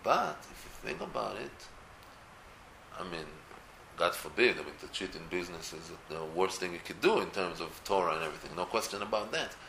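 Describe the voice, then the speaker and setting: Animated man from Israel, gravelly voice. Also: sits low, a little fast, reverberant, a little hiss or hum, low-quality recording. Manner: animated, many restarts, rising to emphatic peaks. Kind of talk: casual religious talk.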